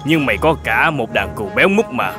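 An actor playing a threatening, greedy bandit in an animated folk tale, giving orders in a commanding manner. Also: male voice, mid-range voice, fairly quick, music in the background, close to an everyday voice. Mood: menacing. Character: menacing, domineering male bandit, greedy and hungry.

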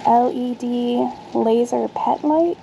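A woman's voice: fairly high pitch, slow pace, vocal fry, background noise. A woman in her thirties chatting casually to camera outdoors, thinking aloud as she sorts her dumpster finds. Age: 30s. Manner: chatty, thinks aloud while sorting items. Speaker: vlogger sorting dumpster finds. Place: outdoors on a backyard patio.

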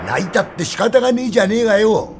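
A man voicing a rough, bullying gangster henchman in a traditional Japanese tale, with coarse, threatening street talk in an old Tokyo dialect. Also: high-pitched, animated, fast, noisy background. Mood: sneering, bullying. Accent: Edo Tokyo dialect. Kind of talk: traditional Japanese stage storytelling. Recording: music behind the voice.

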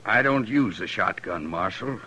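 An actor playing a curt, defiant outlaw gambler with folksy frontier speech in a 1950s radio western. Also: male voice, lowish voice, animated, moderate pace, bright, forceful tone, a little hiss or hum. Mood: threatening. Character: surly, defiant outlaw gambler of the Old West. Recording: dull vintage 1950s radio recording.

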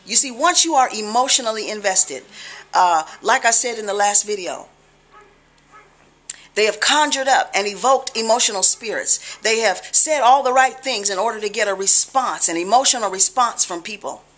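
A middle-aged woman with an American accent talks to the camera in a small living room. Her voice sits at an average pitch. She teaches in a conversational, deliberate way, stressing points and checking in with the listener. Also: a bit bright. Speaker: religious video blogger. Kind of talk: informal teaching talk to camera.

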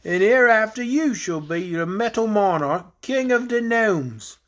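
A man voicing a huge, boastful dragon in a storybook, gruff and commanding in manner. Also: high-pitched, animated, unhurried, voice pushed up. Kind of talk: storybook character voice in narrated children's fantasy.

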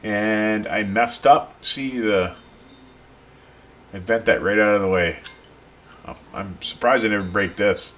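A man's voice: on the low side, slow pace, long pauses, fairly bright tone. A man talking a viewer through a repair at his workbench in a casual, plain way. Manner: plain, unpolished explaining while working, talking to the viewer. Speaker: pool and spa repair technician. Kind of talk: casual hands-on repair tutorial. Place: workshop bench. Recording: tool clicks and workshop noise.

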